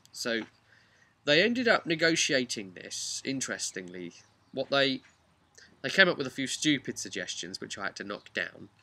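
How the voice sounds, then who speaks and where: A man's voice: fairly low pitch, sharp tone. A man working as a game master, casually recapping a tabletop role-play session in a rambling, conversational way. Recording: clearly noisy, birdsong behind.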